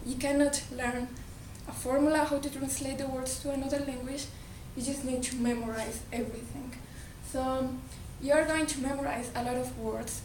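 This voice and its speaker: A woman's voice: high-pitched, darkish tone. A woman from Colombia with a Colombian Spanish accent, a native Spanish speaker giving an earnest talk to an audience and often restarting her words. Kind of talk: presentation to an audience. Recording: echoey hall, distant mic, background noise.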